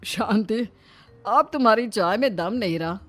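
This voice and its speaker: A woman's voice: mid-range voice, expressive, a little slow, fairly bright tone, faint music behind. A woman voicing a genial, persuasive village elder brother-in-law in a cartoon story.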